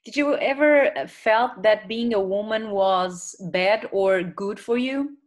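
A woman's voice: medium pitch, takes it slowly. A young woman with a Portuguese accent, chatting casually as an interviewer over a video call. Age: young adult. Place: indoor room. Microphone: video call, computer microphone.

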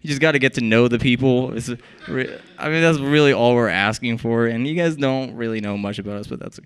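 A man's voice: medium pitch, brisk, clean tone. A young man from Iraq performing a wry stand-up set on a small club stage, holding a handheld mic. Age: young adult. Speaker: stand-up comedian.

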